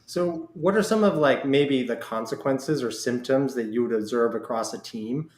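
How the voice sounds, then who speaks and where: A man's voice: mid-range voice, moderate pace, a little mellow. A man hosting an interview, asking questions in a conversational, exploratory way. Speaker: podcast host, interviewer. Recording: echoey, roomy sound.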